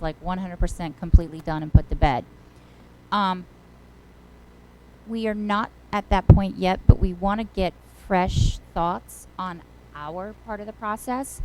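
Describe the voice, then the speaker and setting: Mellow-voiced woman giving a hesitant update. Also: fairly low pitch, a little hiss or hum, low-quality recording. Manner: hesitant, with frequent fillers. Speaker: finance committee chair. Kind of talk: committee update at a board meeting.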